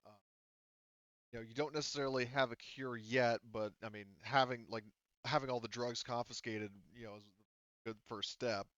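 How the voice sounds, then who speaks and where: A man's voice: mid-range voice, steady tone, moderate pace, fairly bright tone, smooth, dry recording, highs cut off. A man running a tabletop game, talking casually as game master and explaining options in a rambling, hedging way.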